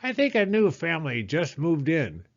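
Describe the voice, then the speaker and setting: A man's voice: on the high side, huge pitch swings, moderate pace, lo-fi sound, pitched above the performer's own voice. A man voicing a fussy, chattering female hen who collects treasures. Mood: chatty.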